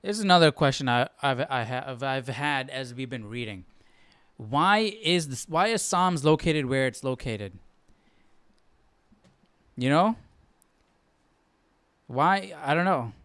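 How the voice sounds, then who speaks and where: A man with a clear, smooth voice talks in a casual, unscripted way, as a study host would. He muses aloud and puts questions to himself between bits of reading. The sound is close and dry. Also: medium pitch, expressive, takes it slowly, long pauses, a bit bright, no room sound. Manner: musing aloud, asking questions. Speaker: host of a Bible study stream.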